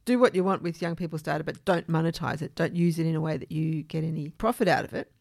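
A woman hosting a podcast, explaining things in a measured way with informal asides. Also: low voice, gravelly, darkish tone, dry recording, clean studio sound. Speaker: podcast host.

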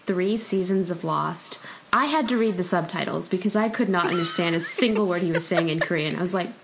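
A woman's voice: fairly low pitch, a little fast, vocal fry, noisy background. A woman co-hosting a podcast in casual chat, chatty and laughing often, with many fillers. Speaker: podcast co-host.

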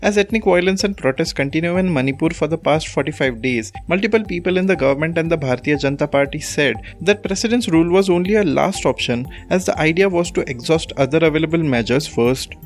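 A man's voice: on the high side, fast talker, hardly any pauses, faint music behind. A man with an Indian accent presenting a scripted news bulletin.

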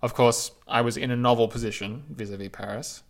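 A man reading his own reflective essay aloud in a measured, lyrical, unhurried way. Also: mid-range voice, steady tone, gravelly.

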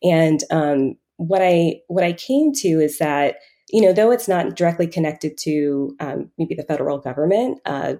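A woman with a low, soft-edged voice speaks as a guest in a conversational interview. Her tone is dark and relaxed, and she feels her way through each thought with hedges and pauses. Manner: halting, thinking aloud, frequent hedging with "kind of" and "like". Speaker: podcast guest, financial coach. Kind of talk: reflective conversational interview answer.

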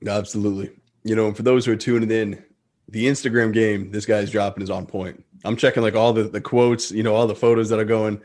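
Slightly low-voiced male podcast host. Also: fairly even intonation, brisk, a little mellow. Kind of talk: casual chat.

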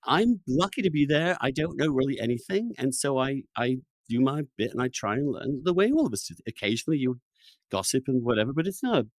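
Man talking in halting, restarting bursts. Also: medium pitch, very animated, no room sound. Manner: halting, repeats and restarts phrases. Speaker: podcast host. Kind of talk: conversational interview with opinionated commentary.

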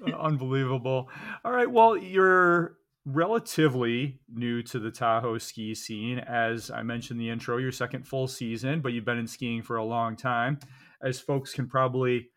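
A man's voice: mid-range voice, moderate pace, fairly bright tone. Curious male interview host. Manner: curious, asks long layered questions. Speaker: podcast host. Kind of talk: interviewing a guest.

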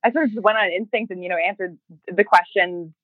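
A woman giving a casual interview as a podcast guest, talking in a chatty, halting way and often slipping into quoted speech. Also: medium pitch, no room sound, narrow, tinny audio. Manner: chatty, halting, acts out remembered thoughts and emails in quoted speech. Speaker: podcast guest, fiction podcast creator and writer.

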